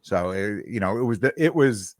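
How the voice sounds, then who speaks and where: Podcast co-host, middle-aged man, low voice, dry close sound, rambling storyteller. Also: expressive, normal speed, a little mellow, dry recording. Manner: rambling, repeats words while searching for them. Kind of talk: casual chat.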